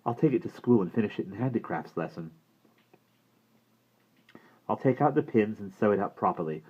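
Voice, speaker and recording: A man voicing an eager, excited young boy who talks in quick, breathless bursts. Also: on the low side, slightly rough, highs cut off, pitched above the performer's own voice.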